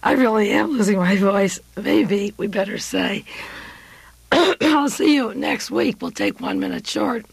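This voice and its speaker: A woman hosting a radio show, delivering a rambling political monologue with frequent pauses. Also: medium pitch, lively intonation, creaky, husky, dry recording.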